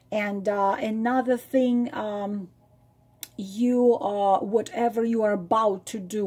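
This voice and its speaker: Slow, husky woman reading tarot. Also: medium pitch. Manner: emphatic, repeats phrases for emphasis; drops to a soft breathy near-whisper at times. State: losing her voice. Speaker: tarot reader and psychic. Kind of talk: spontaneous spoken tarot reading to viewers.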